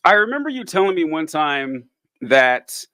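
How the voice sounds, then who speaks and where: A man's voice: fairly high pitch, very animated, moderate pace, pushed and bright, no echo, clean studio sound. A man hosting a podcast in casual chat, rambling with lots of fillers and casual swearing. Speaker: podcast host.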